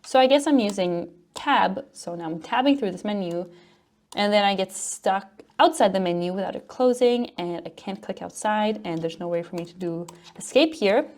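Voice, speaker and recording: A woman's voice: medium pitch, normal speed, a little mellow. Woman giving a tech talk. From Norway. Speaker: conference speaker, front-end developer and educator. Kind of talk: technical conference presentation with demo.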